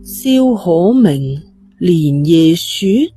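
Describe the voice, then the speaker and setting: Dark-toned story narrator, bright Cantonese woman. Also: on the low side, expressive, drawn-out words, slightly rough, no room sound, clean studio sound, soft background music. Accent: Hong Kong Cantonese. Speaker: audio novel narrator. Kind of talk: scripted horror-mystery story narration.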